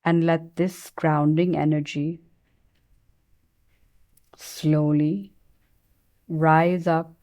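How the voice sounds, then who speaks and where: A woman leading a guided meditation in a soothing, gentle manner, with long unhurried pauses. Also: deep voice, level tone.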